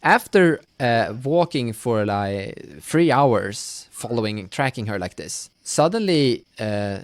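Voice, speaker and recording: A man narrating a tabletop fantasy game as game master, improvising haltingly and often repeating words. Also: mid-range voice, animated, no room sound.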